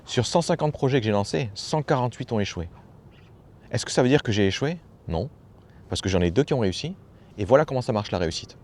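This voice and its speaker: A man's voice: medium pitch, lively intonation, quick pace, a little mellow. A man giving a casual motivational talk as a personal development coach, familiar and slangy, hammering points home by repeating phrases.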